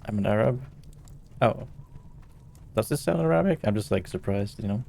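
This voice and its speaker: Tired, low-voiced Norwegian man chatting. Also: expressive, normal speed, creaky, warm tone, slight background noise. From Norway. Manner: tired, hesitant, low-key. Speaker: streamer. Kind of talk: casual chat while gaming.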